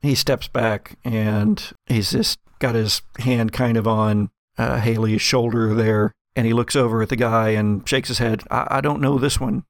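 A man narrating an improvised tabletop roleplaying game as game master, descriptive and halting as he finds his words. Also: on the low side, little pitch movement, dry recording, clean studio sound.

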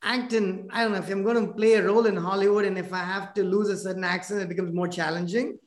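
A software engineer living near Washington DC who grew up elsewhere, talking casually over a video call with lots of fillers, restarts and tag questions. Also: medium pitch, level tone, fast talker. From abroad, now living near Washington DC. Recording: echoey room.

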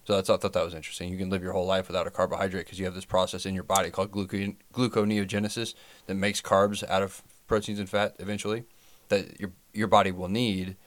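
A man's voice: low voice, little pitch movement, a little fast, no room sound. A man co-hosting a podcast, a health professional explaining things in a plain, matter-of-fact way. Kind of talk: casual chat.

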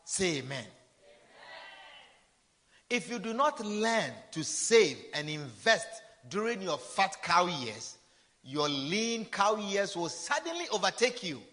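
A pastor in his fifties preaching a sermon, repeating his lines, calling out hallelujah, and swinging between loud declarations and quiet asides. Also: high voice. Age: 50s. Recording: long reverb of a large hall.

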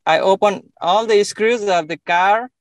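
Young man, from Nepal, halting, many fillers, higher voice, smooth clear tone, dry close sound. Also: no echo. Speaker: interviewee. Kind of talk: casual interview.

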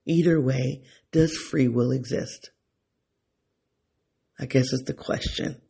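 A man's voice: mid-range voice, fairly even intonation, slow, creaky, warm tone, breathy edge. A man thinking aloud in a reflective solo monologue, often rephrasing himself and talking straight to his listeners. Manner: talks directly to listeners, informal.

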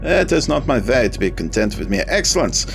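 A man's voice: medium pitch, very animated, brisk, vocal fry, over music, voice pushed up. A man voicing a commanding German car dealer of about forty, with a German accent and a curt, domineering drill-sergeant manner.

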